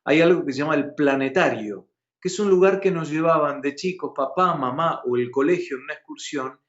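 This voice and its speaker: A man's voice: on the high side, fairly quick, reverberant. A man from Argentina with an Argentine accent, hosting a live news chat and talking with emphasis. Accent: Argentine Spanish. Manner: animated, emphatic, asks co-host questions. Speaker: news host. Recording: muffled broadcast audio.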